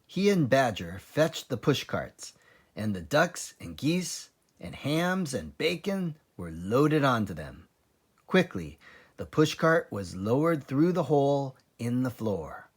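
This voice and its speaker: A man reading a children's book aloud as narrator, in an expressive, dramatic and deliberate style. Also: medium pitch, breathy edge. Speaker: children's story reader, librarian.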